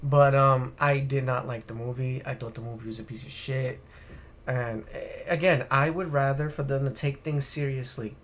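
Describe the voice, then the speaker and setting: A man's voice: mid-range voice, steady tone, normal speed, fairly bright tone. A man co-hosting a casual video game podcast on a live stream, ranting bluntly with plenty of swearing. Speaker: podcast co-host.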